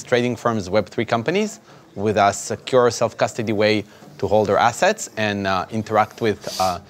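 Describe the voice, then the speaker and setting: A man introducing himself as a startup co-founder and CTO on a panel, unhurried and careful. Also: on the low side. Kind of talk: conference panel talk.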